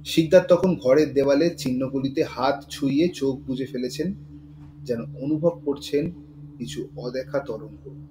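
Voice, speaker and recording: A man narrating a scripted thriller story in a measured, dramatic, suspenseful manner. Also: medium pitch, noisy background.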